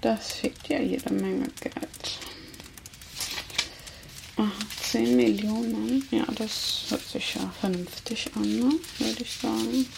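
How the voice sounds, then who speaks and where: Through loud noise and crackle comes a female vampire , acted by a woman, toying with a captive in an ASMR roleplay. She speaks very slowly with a gravelly fry, drawling her sardonic threats and sometimes dropping to a whisper. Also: a little high, steady tone, full character voice. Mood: smug, calculating. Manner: teasing, sardonic, drawn-out, slipping into whispers.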